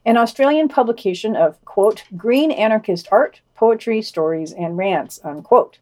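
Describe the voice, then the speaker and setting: A woman with a medium-pitched voice presents a scripted news roundup as a podcast host. She reads summaries and quotations, adding commentary in a dry, wry manner. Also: expressive, moderate pace, mellow.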